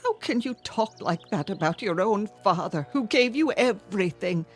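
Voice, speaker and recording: A woman's voice: mid-range voice, lively intonation, moderate pace, soft background music, natural voice. An actress playing an older mother, anxious and reproachful, pleading and scolding properly in a radio drama. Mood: indignant. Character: anxious, reproachful elderly mother of a grown daughter. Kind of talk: dramatic radio-play acting.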